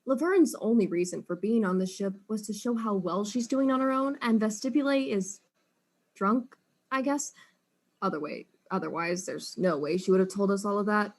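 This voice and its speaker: An actress playing a wry, weary detective in an audio drama, speaking casually. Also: female voice, mid-range voice, moderate pace, close to an everyday voice. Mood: exasperated.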